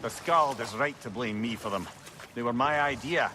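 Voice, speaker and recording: An actor playing a witty old talking severed head in a video game, with a wry, expressive manner. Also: male voice, mid-range voice, moderate pace, background noise, lightly acted. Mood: regretful.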